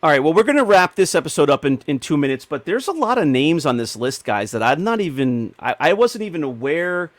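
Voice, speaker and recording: Male panel host, slightly higher register, dry sound, casual and keen. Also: very animated, fairly quick, few pauses, no room sound. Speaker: podcast host.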